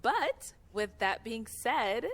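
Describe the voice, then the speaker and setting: A woman hosting a blind-date show, cheerful and quick to laugh as she explains the rounds. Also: on the high side, big pitch swings. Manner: upbeat, laughs often. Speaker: show host.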